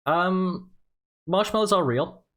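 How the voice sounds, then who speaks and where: A man's voice: high voice, slow, a bit bright. A young man hosting a charity livestream, chatting through casual announcements in a hesitant, unscripted way. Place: indoor home streaming setup.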